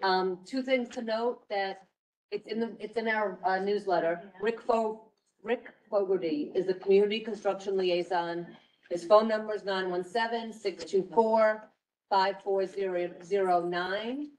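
A woman's voice: mid-range voice, steady tone, measured pace, darkish tone. A woman giving an informal committee report at a community board meeting. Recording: distant mic in meeting room.

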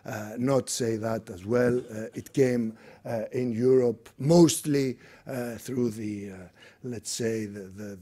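A man's voice: on the low side, dark tone, husky. A middle-aged man from Greece with a Greek accent, giving an unscripted conference talk full of fillers and restarts. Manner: hesitant, many fillers and restarts. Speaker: conference speaker. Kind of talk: unscripted lecture. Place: conference room.

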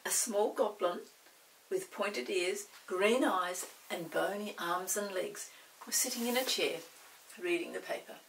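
A woman reading a children's story in an expressive, measured way. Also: mid-range voice, gravelly, distant mic, slight background noise, low-quality recording. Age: 60s. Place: small indoor set with dark backdrop.

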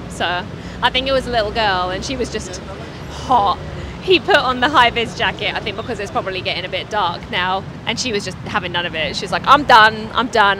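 Chatty woman vlogging over loud traffic. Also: mid-range voice. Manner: chatty, giggly, coos at animals. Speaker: travel vlogger. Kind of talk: casual travel vlog chat. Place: busy city street outdoors. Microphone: handheld mic. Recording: loud street traffic behind. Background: traffic noise.

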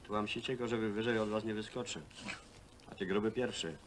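Bardzo niski, szorstki w obejściu robotnik grany przez aktora. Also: male voice, on the low side, fairly even intonation, fairly quick, close to an everyday voice. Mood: accusing. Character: stubborn, blunt hostel worker from the countryside. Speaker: film actor. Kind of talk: naturalistic film drama dialogue. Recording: noisy old film soundtrack.

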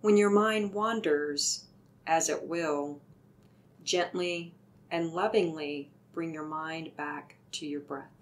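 A woman leading a guided meditation with soothing, deliberate, gently instructive delivery. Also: deep voice, fairly even intonation.

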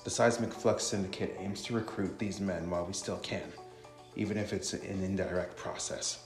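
A threatening enemy soldier from a visual novel, read out by a man, with a fairly low voice and defiant words. The sound is roomy, with clear music behind. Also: fairly even intonation, moderate pace, natural voice. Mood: menacing, defiant.

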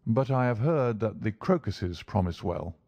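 A man voicing a calm, courteous gentleman detective with a bland, imperturbable and dryly amused manner in a storybook reading. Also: on the low side, normal speed, no echo, dull audio. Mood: bland, unruffled.

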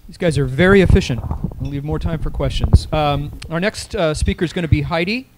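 Brisk panel moderator, a little high. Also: male voice, noisy background. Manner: brisk, friendly introductions.

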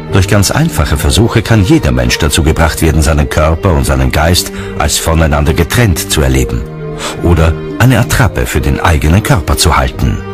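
A man reading scripted documentary narration as the narrator. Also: rumbling low. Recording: music bed under narration.

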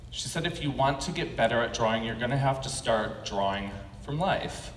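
An American man in his thirties giving a prepared autobiographical keynote speech, telling his story in measured short phrases with pauses. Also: medium pitch, expressive. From California, United States. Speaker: keynote speaker, animation artist. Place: conference stage at a podium, indoors. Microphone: podium gooseneck microphone. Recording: echoey hall PA, faint background noise.

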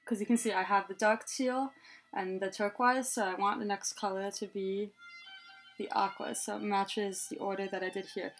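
Young female tutorial host, mid-range voice, chatty step-by-step delivery, small room, soft music underneath. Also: medium pitch, fairly even intonation, normal speed. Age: young adult. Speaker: head scarf tutorial host. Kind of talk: casual hands-on tutorial. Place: small room indoors, plain wall.

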